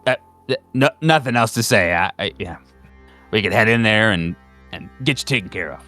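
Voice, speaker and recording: A man's voice: on the low side, expressive, moderate pace, pushed and bright, faint music behind. A man playing a nervous handler in a roleplaying game, stammering and evasive as he makes veiled threats. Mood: appeasing.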